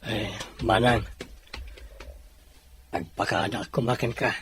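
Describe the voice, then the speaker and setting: A man's voice: lowish voice, takes it slowly, a bit bright, husky, no room sound, heavily acted. A man playing a humble, helpful younger brother in an audio drama. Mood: earnest, humble. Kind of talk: audio drama dialogue in Ilocano.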